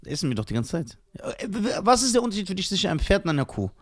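A man's voice: sits high, very animated, racing. A man from Iran co-hosting a casual podcast chat, reading entries from a list aloud with mock drama. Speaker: podcast co-host.

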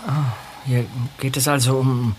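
An actor playing a puzzled, uneasy young amateur detective in a German radio play. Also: male voice, medium pitch, flat delivery, measured pace, a little hiss or hum, close to an everyday voice.

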